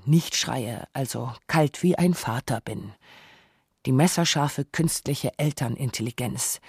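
A man playing an anguished, self-reproaching father who narrates a radio essay in deliberate, reflective phrases. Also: fairly high pitch, a little mellow, slightly rough, no room sound. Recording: dry studio with some music.

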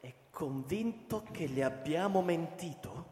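An actor playing a stern maintenance technician in a radio drama, matter-of-fact and interrogating. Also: male voice, a little high, expressive, a little slow, mellow. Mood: stern, questioning. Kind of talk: theatrical radio drama acting. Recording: echoey hall with music behind. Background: music.

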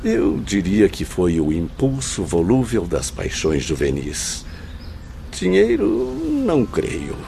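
Actor as Romeu, a deep, expressive, earnest man. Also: slow, vocal fry, a little hiss or hum, full character voice. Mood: reflective. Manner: formal, eloquent, emphatic phrasing. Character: Romeu, earnest well-informed spirit recounting a friend's tragedy. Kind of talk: radio drama acting.

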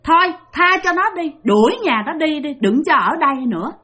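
A woman's voice: high voice, animated, brisk, bright, forceful tone. A woman doing a pleading female ghost. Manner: pleading, coaxing.

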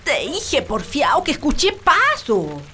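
Radio comedy: high, animated Chilean rural wife and mother played by an actress, nagging and exaggerated. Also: slow, a little hiss or hum, heavily acted. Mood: scolding. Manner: scolding, exaggerated, colloquial. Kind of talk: comic radio drama acting.

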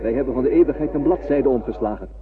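A man's voice: mid-range voice, fast, natural voice. An actor playing a solemn, mystical spaceship crewman resigned to death in a radio drama, poetic and prophetic. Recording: distant, noisy, phone-like old radio recording.

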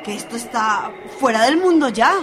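A woman's voice: a little high, animated, moderate pace, music in the background, close to an everyday voice. An actress in a radio serial playing a sensible but flustered young woman with a Spanish accent, exclaiming and stammering in exasperation. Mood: startled, flustered. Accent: Spain. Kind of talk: audio drama acting.

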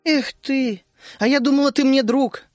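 Мужчина-чтец озвучивает обидчивую вспыльчивую девочку, говоря с ней резко и с негодованием. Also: male voice, very high voice, fairly even intonation, unhurried, a little mellow, no echo, faint music behind, pitched above the performer's own voice. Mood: indignant. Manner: indignant, snappy. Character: touchy quick-tempered young girl.

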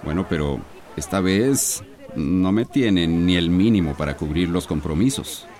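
An actor playing a pushy, sly fish buyer with a Central American accent in a radio soap opera. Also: male voice, sits low, animated, unhurried, darkish tone. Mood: haggling. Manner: pushy, dismissive, insinuating.

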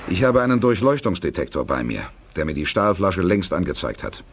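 An actor playing an urgent, authoritative leader in a 1980s science-fiction radio play, giving resolute, clipped orders. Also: male voice, low voice, sing-song, a little fast. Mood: controlled, wary. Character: commanding, urgent male space-age leader of a new world power. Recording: loud background noise.

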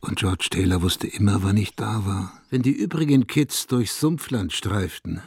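A brooding villager telling his story in a radio drama. The voice is low and gravelly, husky at the edges, recorded close and dry. He speaks in a measured, reflective way, like someone confiding an old secret. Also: a little mellow, no echo. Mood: wistful, confiding. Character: brooding male villager recalling a lonely, watchful youth. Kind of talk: literary radio drama narration.

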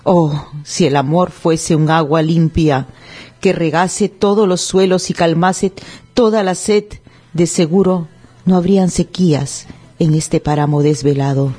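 A woman's voice: lowish voice, steady tone, a little slow, warm tone, breathy edge. A woman speaking on a radio show, in a poetic recitation or as a panelist.

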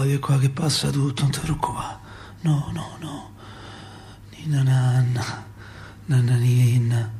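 A man's voice: mid-range voice, vocal fry, warm tone. An actor playing an emotional, nostalgic Roman father in a theatrical radio monologue, colloquial and intimate, with a Roman accent. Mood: tender, hushed. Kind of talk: theatrical radio monologue, reciting verse and memories.

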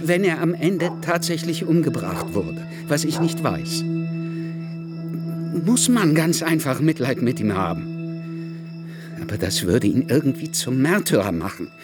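An actor playing a bitter, tormented Cuban writer who confides in a friend in a radio drama, emphatic and prone to swearing. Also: male voice, a little high. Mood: bitter, conflicted.

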